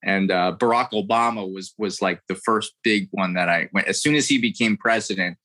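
A man's voice: on the low side, normal speed, pushed and bright. A man in his thirties from the United States, an impressionist telling his story in an earnest, rambling way. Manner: earnest, rambling, repeats words. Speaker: impressionist guest. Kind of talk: interview storytelling.

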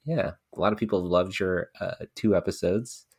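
Male podcast host, deep low register, rambling and giggly, dry close sound. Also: a little fast, creaky, darkish tone, husky, dry recording. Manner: chatty, giggly, lots of fillers. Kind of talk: casual podcast chat.

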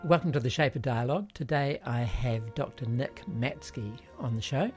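A man hosting a podcast, greeting his guest and asking relaxed, joking questions. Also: medium pitch, moderate pace, over loud music. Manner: laid-back, joking questions. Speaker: podcast host. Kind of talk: casual interview hosting.

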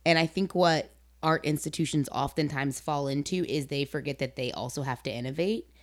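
Low-pitched woman chatting informally and emphatically. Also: a little fast. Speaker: interview host. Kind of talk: casual conversational interview.

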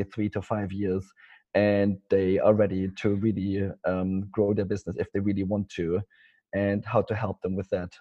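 A middle-aged man speaks with a German accent in a low, husky voice. He rambles through his answers with frequent ums and restarts. The audio sounds dull and lo-fi. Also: warm tone. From East Germany. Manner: rambling, hesitant, many fillers and restarts. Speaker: guest. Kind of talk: casual interview talk.

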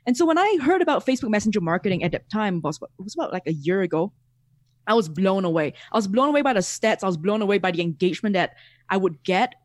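Lively Singaporean man on a video call. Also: high voice, quick pace, no room sound, clean studio sound. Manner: animated, laughs often, repeats words. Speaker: guest. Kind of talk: casual interview chat.

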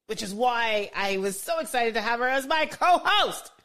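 A woman's voice: a little high, animated, normal speed, a bit bright. A woman hosting a podcast, talking enthusiastically in casual chat with many restarts and "you know"s. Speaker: podcast host.

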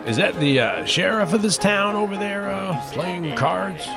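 An actor playing a nervous but persistent young reporter in a western audio drama, halting and pleading. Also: male voice, high-pitched, lively intonation. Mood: hesitant. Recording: music behind the voice.

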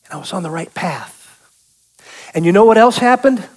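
A man's voice: high voice, expressive, normal speed, poor audio. Man giving a folksy church testimony. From South Boston, US. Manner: folksy, joking, calls out to the audience. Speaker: guest speaker giving testimony. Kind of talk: testimony sermon.

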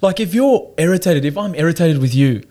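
A man's voice: fairly high pitch, expressive, a little fast, a crowd in the background. A young South African man with a South African accent, a podcast guest talking candidly, swearing now and then and often restarting his sentences. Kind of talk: casual chat.

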